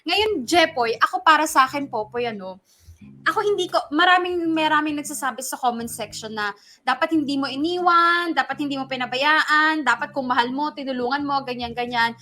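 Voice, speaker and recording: Filipino female radio co-host, high and bright, conversational and expressive, offering commentary to listeners. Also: a little fast. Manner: expressive, conversational, code-switching between two languages. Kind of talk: casual advice talk, giving commentary to listeners.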